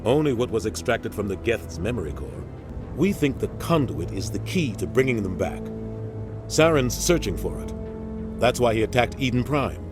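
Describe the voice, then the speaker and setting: An actor playing an earnest, alert military officer in a video game, explaining things analytically. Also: male voice, medium pitch, big pitch swings, normal speed, lightly acted. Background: music.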